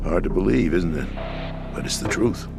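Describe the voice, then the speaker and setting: Cynical low-voiced American president. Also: male voice, expressive, vocal fry. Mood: cynical, insistent. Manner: measured, grave, expository delivery with dramatic emphasis. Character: disillusioned older male US president, cynical, ambitious conspirator. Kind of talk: dramatic video game voice acting. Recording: dramatic music behind the voice.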